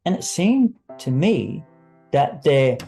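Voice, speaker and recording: A man's voice: a little high, sing-song, slow pace, gravelly, dark tone, faint music behind, full character voice. A man voicing a servile male attendant in an audio story, deferential, earnest and obsequious. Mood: earnestly devoted.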